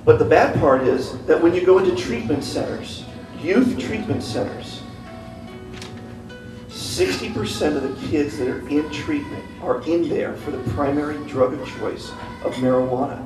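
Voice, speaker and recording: A man's voice: a little high, normal speed. A man giving an impassioned public speech as a community activist, driving home repeated calls to action. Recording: echoey room, distant mic, music behind.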